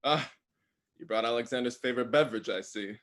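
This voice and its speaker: A man's voice: medium pitch, quick pace, a bit bright, close to an everyday voice. A man playing a scheming, evil god of the underworld in a comic staged reading, theatrical and sly. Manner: theatrical, sly, mock-sweet.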